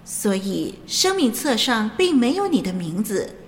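A woman playing a stern angel gatekeeper in a radio drama, delivering solemn, measured judgments on souls. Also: fairly high pitch, sing-song. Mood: solemn verdict. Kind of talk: scripted Mandarin radio drama acting. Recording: long cavernous reverb with background noise.

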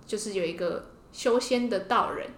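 A woman's voice: mid-range voice, moderate pace, fairly bright tone, slight background noise. A woman with a Taiwanese Mandarin accent hosting a podcast, telling and explaining a story in a casual way. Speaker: podcast host. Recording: roomy, echoing podcast room.

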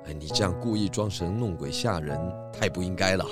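An actor playing a shrewd pig detective who explains and admonishes in a children's audio drama. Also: male voice, deep voice, a little fast, close to an everyday voice. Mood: admonishing. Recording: music behind the voice.

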